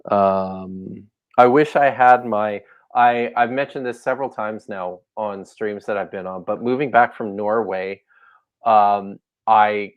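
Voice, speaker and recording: A man's voice: fairly low pitch, takes it slowly, clear, resonant tone, no room sound, muffled recording. A man talking as a guest scholar in a casual interview, thoughtful and hesitant, with many fillers and restarts.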